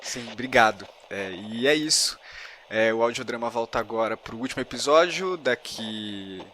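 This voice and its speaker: A man's voice: mid-range voice, lively intonation, moderate pace, pushed and bright, a little hiss or hum. A man with a Brazilian Portuguese accent hosting a casual interview in an informal, appreciative way. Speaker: podcast host.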